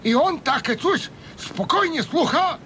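An actor playing a grumpy, opinionated old bachelor pensioner in a radio comedy, with a folksy Podlasie accent from eastern Poland. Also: male voice, animated, a little slow, sharp tone, full character voice. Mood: scornful, grumbling. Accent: eastern Polish, Podlasie regional. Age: older. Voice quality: creaky, high-pitched. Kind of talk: radio comedy serial acting. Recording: rumbly, noisy radio recording.

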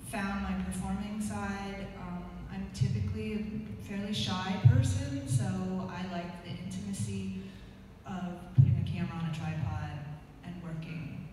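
A middle-aged woman artist speaking softly and haltingly at a panel talk, into a handheld mic. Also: mid-range voice, steady tone. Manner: soft-spoken, hesitant with many ums. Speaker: artist guest. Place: gallery talk room with audience. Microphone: handheld mic heard from far camera. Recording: echoey room from far off, noisy.

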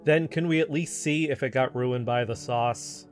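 Actor as agitated male sleuth, insistent, halting, natural audio drama dialogue, light music behind. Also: mid-range voice, close to an everyday voice. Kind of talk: audio drama acting, conversational.

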